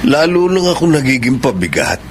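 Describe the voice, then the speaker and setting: A man's voice: medium pitch, big pitch swings, a little fast, close to an everyday voice. Weary, dejected father played by an actor, noisy recording. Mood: dejected, bitter. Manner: weary, self-pitying. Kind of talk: Filipino radio drama acting. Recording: noisy background hum.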